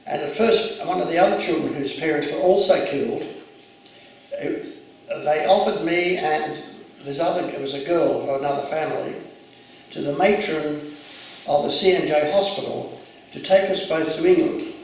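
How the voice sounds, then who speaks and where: A retired clergyman in his eighties, first raised speaking Arabic, looks back on his childhood in a calm, measured way. His voice is somewhat high and gravelly. It sounds far from the mic in a very echoey room with a faint hiss. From Jerusalem, Mandatory Palestine. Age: 80s. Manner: measured, reflective storytelling. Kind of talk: oral history recollection.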